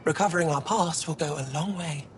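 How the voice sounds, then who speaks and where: An earnest female alien scholar from a sci-fi game, played by an actress, with a slightly low voice. She sounds sincere and hopeful, over a noisy rumbling background. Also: fairly quick, close to an everyday voice. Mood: sincere, persuasive. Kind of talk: video game dialogue.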